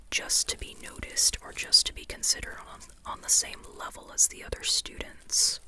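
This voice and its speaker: An ASMR host whispering in an informal, rambling way, softly and unhurriedly, often repeating phrases. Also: extremely high pitch, rough recording. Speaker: ASMR host reviewing a book.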